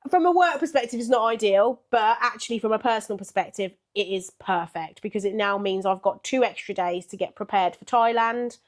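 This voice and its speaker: A woman in her thirties co-hosting a podcast, her voice still croaky from being ill, telling stories in a lively way. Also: medium pitch, brisk, hardly any pauses. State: croaky after illness. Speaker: podcast co-host. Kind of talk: casual chat.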